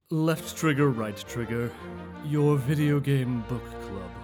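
A man's voice: medium pitch, a little mellow. A man reading a scripted podcast promo in a mock-sophisticated, pompous manner.

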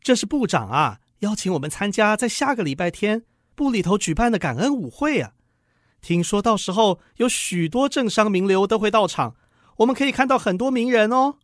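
An actor playing an eager, doting husband, a modest clerk in a radio drama, with an earnest, easily flustered manner. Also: male voice, sits high, fairly quick, no echo, studio quality. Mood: excited. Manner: earnest, animated, stammering when flustered.

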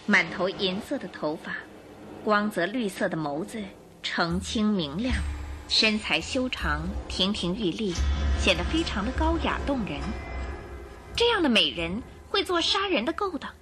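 A woman narrating a radio drama in an expressive, suspenseful storytelling manner. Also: mid-range voice, unhurried, faint music behind.

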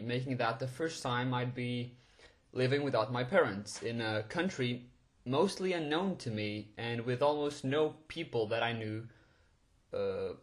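A man's voice: medium pitch, fairly bright tone. A man, giving an enthusiastic, chatty movie review with frequent chuckles and fillers. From Portugal. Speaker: film reviewer. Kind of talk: casual movie review monologue.

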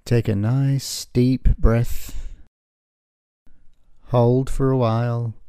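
A man narrating a guided meditation as the guide, in a gentle, soothing, measured way with long pauses. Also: mid-range voice, little pitch movement, clear, resonant tone, no room sound, clean studio sound.

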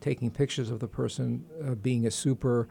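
A man's voice: medium pitch, steady tone, moderate pace, creaky, dark tone, slight background noise. A man with a New York accent hosting a radio interview, chatty and joking at his own expense. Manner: chatty, joking, self-deprecating. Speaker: radio host. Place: radio studio.

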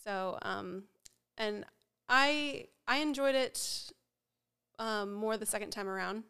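A woman's voice: medium pitch, takes it slowly, bright, forceful tone, smooth. Congested woman co-hosting a casual chat. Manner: chatty, laughs often, uses many fillers. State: congested from a cold, nasal and froggy. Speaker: podcast co-host.

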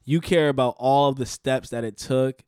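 A man's voice: mid-range voice, steady tone, moderate pace, darkish tone, smooth, no echo, studio quality. A man in his late twenties talking casually as a podcast co-host, pressing his points with earnest, repeated emphasis. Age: 20s. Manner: earnest, repetitive emphasis, coaching tone. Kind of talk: casual conversation.

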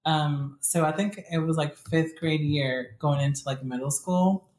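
A woman's voice: deep voice, unhurried, darkish tone. A young woman chatting casually with friends, rambling with lots of fillers. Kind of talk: casual chat. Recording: echoey room.

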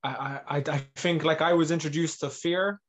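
Flat-toned man in his thirties. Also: a little high, normal speed. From England and Northern Ireland. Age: 30s. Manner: loose, filler-heavy, lots of "like" and "you know". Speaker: guest, MMA fighter. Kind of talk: casual interview talk.

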